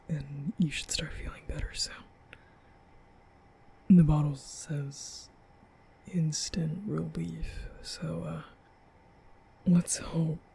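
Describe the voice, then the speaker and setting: A man playing a nervous, caring young vampire in an ASMR roleplay, speaking softly and hesitantly with stammers in a breathy whisper. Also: fairly high pitch, slight background noise. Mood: concerned, coaxing. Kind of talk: ASMR roleplay, soft comforting boyfriend audio.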